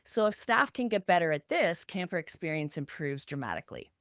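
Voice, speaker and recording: A woman's voice: on the low side, expressive, a little fast, a bit bright, no room sound, clean studio sound. A woman hosting a podcast, talking through practical examples in an earnest, instructional way. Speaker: podcast host. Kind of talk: structured explanatory talk to listeners.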